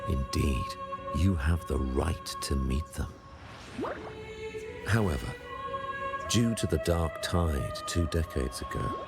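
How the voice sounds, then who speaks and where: A man playing a stately older high priest in a fantasy video game, speaking in grave, formal, measured oratory. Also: heavily acted. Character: solemn, stately high priest of a religious order. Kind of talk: theatrical fantasy game dialogue.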